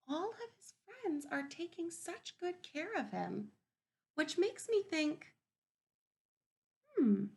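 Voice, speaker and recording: A woman with a fairly high voice and a strong creak reads a story aloud as a teacher would. She goes very slowly, pausing to wonder aloud and put questions to young listeners. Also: a little mellow. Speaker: teacher reading a picture book aloud.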